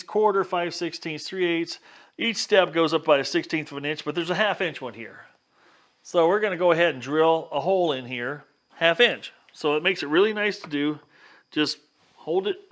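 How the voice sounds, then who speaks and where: A man's voice: fairly high pitch, fairly bright tone. A plainspoken, folksy man hosting a casual hands-on DIY video, talking the viewer through each step. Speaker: vlog host giving a DIY demonstration. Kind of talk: casual hands-on how-to talk.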